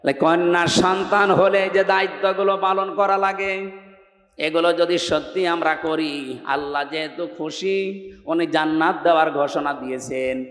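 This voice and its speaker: A Bangladeshi man preaching an Islamic sermon to a gathering in an emphatic, exhorting way, swinging between raised cries and hushed lines over a public-address microphone. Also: a little high, steady tone, unhurried. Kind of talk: sermon to a public gathering, with chanted scripture recitation. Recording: echoing loudspeaker sound, long reverb.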